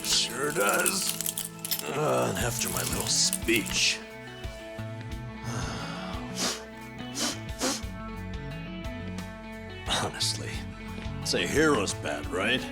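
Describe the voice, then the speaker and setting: An actor playing a gruff, loyal, easygoing older man who teases his friend in a video game cutscene. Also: medium pitch, huge pitch swings, drawn-out words, pauses often, music in the background, full character voice. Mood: teasing.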